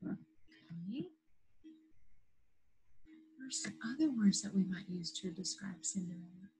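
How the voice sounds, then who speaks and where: A woman teacher leading an interactive lesson for young children after a read-aloud, in simple, patient phrases with questions and drawn-out key words. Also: medium pitch, expressive, darkish tone, rough recording. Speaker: primary school teacher.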